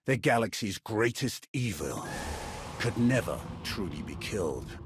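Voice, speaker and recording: An actor playing a grave, formal warrior in a video game, speaking with solemn deliberation. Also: male voice, lowish voice, very animated, a little slow, background noise. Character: grave, dutiful male Sith warrior ally, menacing and formal. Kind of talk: video game cutscene acting.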